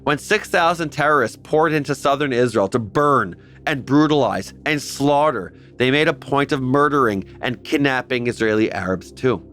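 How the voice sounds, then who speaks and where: Man, mid-pitched, earnest scripted narration, wry asides, faint music underneath. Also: moderate pace, few pauses, fairly bright tone. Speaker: host.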